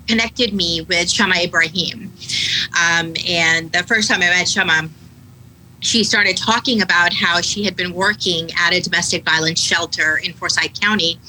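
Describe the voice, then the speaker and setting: A woman in her thirties with an American accent, a guest attorney answering interview questions candidly and reflectively, with frequent fillers and pauses. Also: lowish voice, bright, forceful tone, low-quality recording. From Pakistan, raised in Atlanta. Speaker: guest, attorney, nonprofit founder. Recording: faint background noise.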